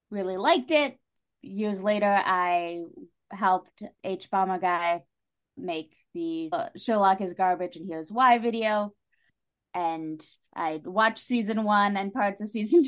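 A woman's voice: mid-range voice, takes it slowly, clean tone. Female podcast guest, conversational and enthusiastic, wry fan with a playful streak. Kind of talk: casual podcast chat.

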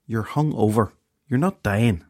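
A man's voice: fairly low pitch, measured pace, a little mellow. Guy doing a northern irish fairy landlady, lilting, dry and unsympathetic, storybook dialogue, no room echo. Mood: dry, matter-of-fact. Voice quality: smooth, lilting. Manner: dry, matter-of-fact scolding. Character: wry, unsympathetic female fairy bar owner.

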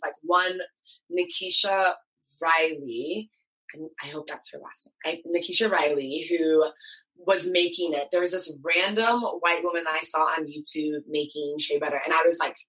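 A young woman with a low, bright voice tells stories in an animated, chatty way. She grew up in Ohio and her first language was Twi. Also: slightly rough, low-quality recording. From United States, Columbus, Ohio. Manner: lively, animated storytelling with laughs. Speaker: guest, beauty brand founder. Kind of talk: casual interview chat.

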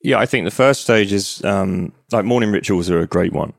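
A man's voice: low voice, normal speed, creaky, no echo, studio quality. A man who is a guest on a podcast, talking enthusiastically in rambling bursts, with frequent restarts. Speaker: guest, movement coach. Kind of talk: conversational podcast interview.

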